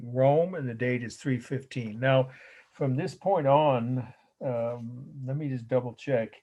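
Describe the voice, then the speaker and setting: Casting: middle-aged male art history lecturer, slightly hoarse, halting and rambling, mid-pitched. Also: darkish tone. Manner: rambling, halting with fillers and self-corrections. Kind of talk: recorded class lecture.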